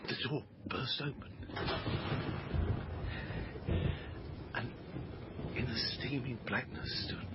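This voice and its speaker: A man's voice: fairly low pitch, dull audio, exaggerated voice. An actor playing an emotional middle-aged adoptive father in a period radio drama, with a grandiloquent, declamatory manner. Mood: dramatic storytelling.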